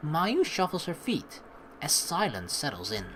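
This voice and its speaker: A woman hosting a let's play, informal and animated, mixing game commentary with reading dialogue aloud. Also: low-pitched, measured pace, a little hiss or hum.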